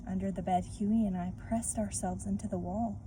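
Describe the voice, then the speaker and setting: Expressive woman reading aloud to children. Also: mid-range voice, moderate pace. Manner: expressive, addressing children directly. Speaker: read-aloud teacher. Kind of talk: children's story read-aloud with questions to listeners. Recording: noisy room with clear background noise.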